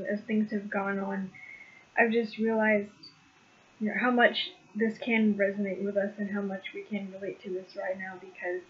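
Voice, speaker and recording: Co-host leading prayer, steady and flat, phone-quality audio. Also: mid-range voice. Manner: earnest, measured, steady. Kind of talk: spoken prayer. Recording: thin phone-like call audio.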